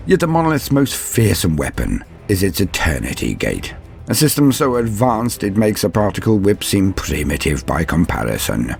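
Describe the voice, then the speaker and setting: A man hosting a lore guide, reading scripted narration in a theatrical, measured and formal manner. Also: sits low, huge pitch swings, gravelly, a little mellow, faint music behind. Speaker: host of a Warhammer lore guide.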